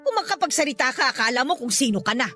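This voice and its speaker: A woman's voice: high voice, expressive, very fast, pushed and bright, faint music behind. A Filipino woman playing a haughty, domineering older mother-in-law in a radio drama, scolding and taunting.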